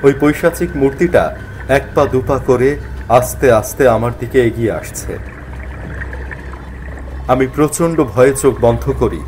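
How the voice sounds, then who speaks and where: A man tells a ghost story as scripted, dramatic narration. His mid-range voice moves in very lively contours. There is faint noise in the background. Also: medium pitch, rough recording.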